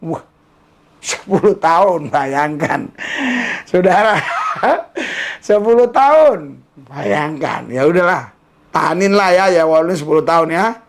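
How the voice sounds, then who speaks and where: Male YouTube commentator, creaky, slightly high voice, teasing and emphatic, with a preacher's streak. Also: lively intonation, slow pace. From Indonesia. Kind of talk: casual monologue commentary with preaching.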